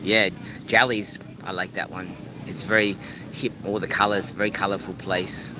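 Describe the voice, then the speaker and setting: A man's voice: deep voice, level tone, normal speed. A man chatting casually on a live stream from a beachside restaurant, often repeating words as he restarts his sentences. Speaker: travel vlogger. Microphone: phone live-streaming. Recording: outdoor traffic noise. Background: street and vehicle noise.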